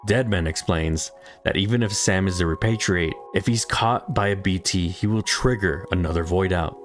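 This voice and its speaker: A man reading a scripted recap of a story as a narrator, with music behind him. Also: low voice. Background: background music.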